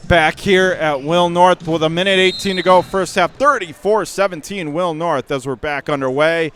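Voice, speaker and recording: An American man calling live basketball play-by-play for radio in clipped phrases that rise with the action, from a high school gym. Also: moderate pace, smooth. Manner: rattles off clipped phrases, rising to excited calls on plays. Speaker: play-by-play commentator. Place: indoor high school gymnasium.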